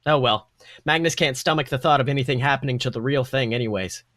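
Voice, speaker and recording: A man reading fan fiction aloud as a podcast host, with expressive story narration. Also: medium pitch, fast, no echo, clean studio sound.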